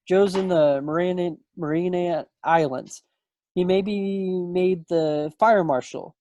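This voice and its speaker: A man's voice: high-pitched, slow, mellow, dry recording, highs cut off. A man playing a playful, folksy young small-town suitor from the 1940s in a play reading over a video call. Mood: teasing, fond. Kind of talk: stage play table read. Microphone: computer microphone on a video call.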